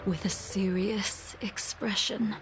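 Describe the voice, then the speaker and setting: A woman playing a cold, weary, vengeful swordswoman in a video game, speaking in a solemn, formal, measured manner. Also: medium pitch, fairly even intonation, natural voice, low-quality recording. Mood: composed. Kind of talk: scripted video game acting. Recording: loud music under the voice.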